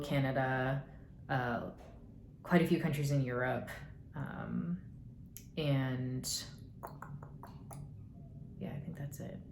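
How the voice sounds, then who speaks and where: A woman's voice: rumbling low, darkish tone. A young woman rambling through get-to-know-me questions in a casual vlog, full of hedges and dry, sarcastic asides. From United States. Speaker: YouTuber. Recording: echoey room, faint noise.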